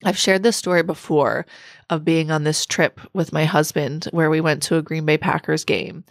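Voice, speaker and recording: Woman hosting her own podcast, bit raspy w a cold, lowish voice, reflective coaching vibe, dry close mic. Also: steady tone, normal speed, no echo. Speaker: podcast host. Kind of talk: solo podcast talk.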